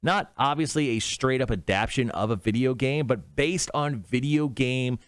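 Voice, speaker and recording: A man's voice: mid-range voice, normal speed, clean tone, no room sound. A man co-hosting a casual entertainment talk show, often thinking aloud as he goes. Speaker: show co-host.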